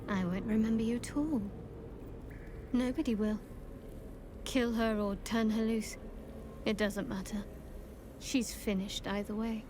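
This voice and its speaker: A proud, hardened young priestess from a fantasy video game, acted by a woman. Her voice sits high and she speaks slowly and deliberately, solemn and haughty. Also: steady tone, background noise, lightly acted. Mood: dismissive. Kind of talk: dramatic fantasy game acting.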